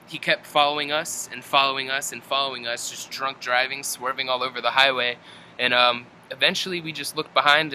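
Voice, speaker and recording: Male, 20s, very sharp bright tone, laid-back slangy vlogger delivery, faintly noisy home recording. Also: mid-range voice. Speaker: metal band vocalist answering fan questions. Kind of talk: casual vlog chat. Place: indoors at home.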